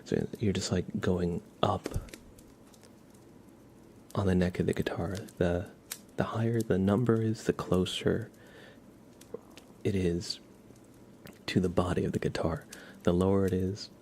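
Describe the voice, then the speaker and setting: Male ASMR live streamer, low and mellow, soft with slips into whisper, informal halting explainer, slight noise behind. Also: fairly even intonation.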